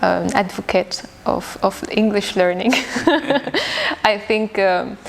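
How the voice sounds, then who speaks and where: A young woman from Tunisia talks in English, her second language after Arabic, thinking aloud with many small hesitations. Her voice has a gravelly, creaky texture and sits at a middle pitch. A faint hiss runs under the recording. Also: animated, slow. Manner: thoughtful, with frequent hesitations and restarts. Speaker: guest English teacher. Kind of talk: casual interview chat.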